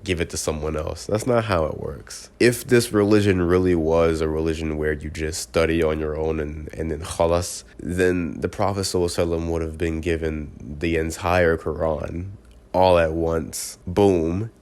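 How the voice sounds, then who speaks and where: Man, very low voice, flat delivery, congested, earnest, casual vlog talk. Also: moderate pace. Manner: earnest, often restarts words mid-syllable. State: congested from a cold. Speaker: vlogger. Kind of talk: casual personal video reflection.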